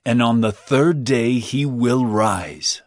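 A man's voice: medium pitch, takes it slowly, dry recording, clean studio sound, lightly acted. An actor playing an earnest, solemn male teacher of parables in a dramatized audio Bible, speaking emphatically. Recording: loud background music.

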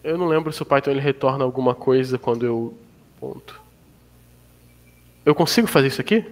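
A man on a video call, talking through code in a relaxed, joking way. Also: mid-range voice, brisk. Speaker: coding dojo participant. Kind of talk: casual pair-programming chat on a video call.

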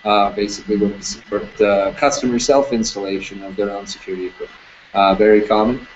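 A man in his thirties, a security camera business owner, talks casually in an interview over a video call, relaxed, with many fillers. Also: lowish voice, fast talker, soft background music. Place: indoor room with curtains. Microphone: webcam on video call. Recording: dull lo-fi video call audio, faint noise.

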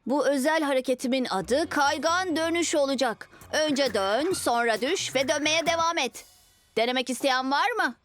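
A woman's voice: sits high, normal speed, lightly acted. A woman voicing an eager, determined six-year-old boy superhero in a children's cartoon dub, earnest and declamatory. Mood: cheerful.

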